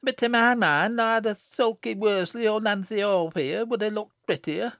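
A high-pitched, peevish little girl from a storybook, acted out by a man, with a Lancashire accent. The delivery swoops about and bites, sharp, taunting and full of threat, on a close, dry recording. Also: huge pitch swings, measured pace, husky, no room sound, heavily acted. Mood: spiteful. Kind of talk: audiobook character acting.

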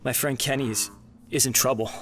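A male actor in an audio drama playing a troubled, distracted young man who speaks haltingly. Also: mid-range voice, fairly bright tone, a little hiss or hum, natural voice. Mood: worried, subdued. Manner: hesitant, halting.

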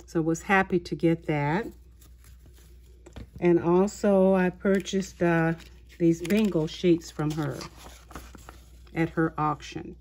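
A woman hosting a haul video of her craft purchases, talking in an unhurried way with many small pauses and fillers. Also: fairly low pitch, fairly even intonation, a little mellow. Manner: slow, unhurried, halting with fillers. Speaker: craft channel host. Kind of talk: show-and-tell haul video. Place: indoors at a tabletop, voice-over of hands.

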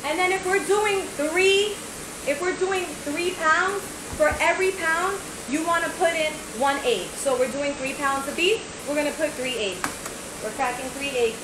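A woman's voice: sits high, moderate pace. A woman in her thirties hosting a casual home cooking video, talking through each step in a chatty way and often checking in with "right?". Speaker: home cook host. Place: home kitchen, indoors. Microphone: phone camera at arm's length. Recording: echoey kitchen with noise.

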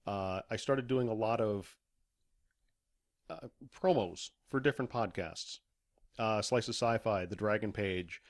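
A man speaking casually as a podcast guest, a writer and voice actor telling anecdotes. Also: lowish voice. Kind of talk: casual anecdotal interview chat.

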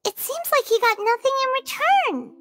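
A woman voicing a tiny, excitable, childlike female fairy companion in a video game, with a squeaky voice. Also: moderate pace, slight background noise, full character voice. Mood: curious, puzzled. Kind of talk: video game character dialogue. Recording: loud music under the voice. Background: music.

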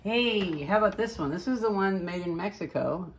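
A woman's voice: medium pitch, animated, normal speed, rough recording. A young adult woman chatting casually and off the cuff, musing aloud as she demonstrates things. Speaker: hobbyist vlogger demonstrating old light bulbs. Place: living room at home, indoors. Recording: clear background noise, home camera recording.

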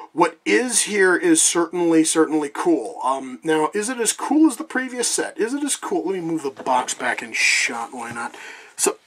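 A man's voice: a little high, fairly bright tone. Rambling man with a lingering cough. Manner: rambling, loose, swears freely. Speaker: trading card reviewer. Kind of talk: casual card review vlog.

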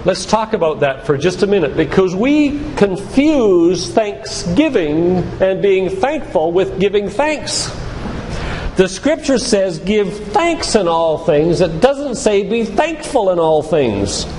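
Older male lay preacher, deliberate and emphatic, high voice, in a reverberant hall. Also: very animated, darkish tone. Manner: deliberate, emphatic teaching with repeated phrases. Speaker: physician giving a church lecture. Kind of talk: sermon-like lecture quoting scripture. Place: live talk in a church hall. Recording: reverberant church hall, background hiss.

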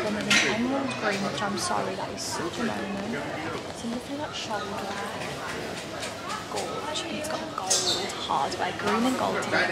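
A woman's voice: medium pitch, vocal fry. A young woman in her twenties, chatting and gushing about clothes as she films a shopping vlog on a handheld camera inside a large store. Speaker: fashion vlogger. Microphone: handheld camera mic. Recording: loud store background noise.

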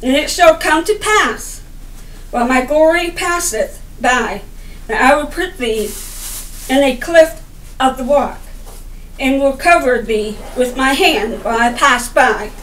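Slow, high-voiced woman reading scripture. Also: lively intonation, a bit bright, slight background noise. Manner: deliberate, broken phrasing with stumbles over words. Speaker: lay preacher reading scripture. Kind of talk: halting Bible reading aloud. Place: indoor room by a window. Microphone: camera a little way off. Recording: roomy, distant camera microphone.

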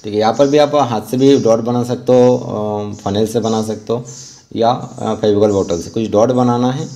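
A man narrating a step-by-step art tutorial as an instructor, often pausing mid-sentence. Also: on the low side, fairly even intonation, dark tone.

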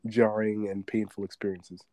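A young man co-hosting a podcast in casual chat, talking with a sore throat and a slight rasp, rambling with restarts and frequent laughs. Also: lowish voice, little pitch movement, moderate pace, mellow, no room sound. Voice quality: slightly raspy. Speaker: podcast co-host.

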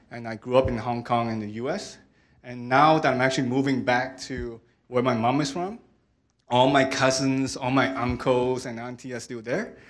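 Friendly man on a panel. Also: medium pitch. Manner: friendly, many "uh" fillers. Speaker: engineering lead, panelist. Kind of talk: panel talk. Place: stage of a large hall with audience. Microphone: handheld mic.

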